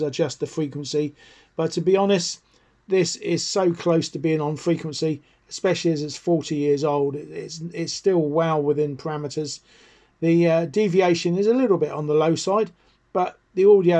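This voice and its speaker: A middle-aged British man talks through a hobby demonstration in a slightly high voice. He is chatty and keen, informal in delivery. The sound is close and dry, with very little room. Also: darkish tone, dry recording. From United Kingdom. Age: middle-aged or older, over 40. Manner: enthusiastic, chatty. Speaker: radio hobbyist presenter. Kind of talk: casual product review and demonstration.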